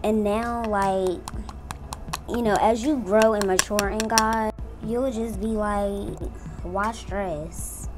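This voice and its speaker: A young woman in her twenties talks to her viewers in a chatty, earnest way, like a beauty vlogger sharing her story. Her voice sits at a middle pitch. Soft music plays faintly in the background. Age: 20s. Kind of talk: casual testimony while doing makeup.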